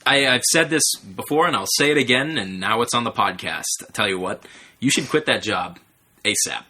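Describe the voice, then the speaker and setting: A man's voice: medium pitch, moderate pace, bright, forceful tone, breathy edge. A young man co-hosting a casual comedy podcast, riffing sarcastically. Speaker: podcast co-host.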